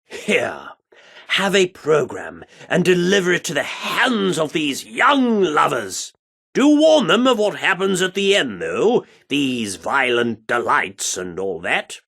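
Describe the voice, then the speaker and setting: A pompous British theatre director for a video game. His voice sits fairly high and swoops dramatically, with a gravelly creak. He delivers florid, Shakespearean phrases with grand, excitable flair. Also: takes it slowly, heavily acted. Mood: grandiose, courteous.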